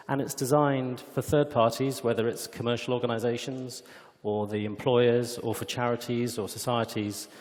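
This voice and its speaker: A man giving a product demonstration at a conference, explaining in a measured way, recorded in a reverberant hall. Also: mid-range voice, darkish tone. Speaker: company executive presenting a product demo. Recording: echoey hall with long reverb.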